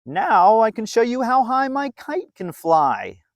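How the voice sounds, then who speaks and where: Man putting on an eager, high-pitched storybook boy. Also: very animated, slow pace, dry recording, studio quality, heavily acted. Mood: eager, friendly. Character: friendly, helpful boy with a kite, eager and kind. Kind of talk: children's storybook character voice.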